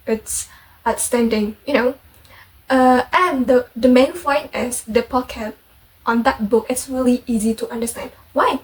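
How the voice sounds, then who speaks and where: A young Indonesian woman with an Indonesian accent, chatting casually in a vlog, full of fillers and self-corrections. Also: on the high side. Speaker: vlogger. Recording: distant mic with faint background noise.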